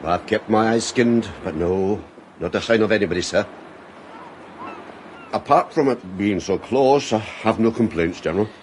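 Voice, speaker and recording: A man's voice: on the low side, moderate pace, slight background noise, natural voice. A man playing a stolid, loyal servant on watch in a British radio drama, respectful and plain-spoken. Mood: stoic, respectful.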